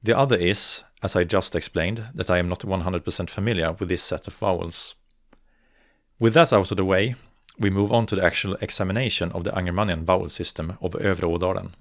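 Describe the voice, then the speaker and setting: A young man from northern Sweden, a native Swedish speaker with a Swedish accent, giving a careful, measured scripted narration for an educational video. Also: sits low, fairly even intonation, no echo, clean studio sound. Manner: measured, careful, slows to articulate example words. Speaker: video essayist on dialects.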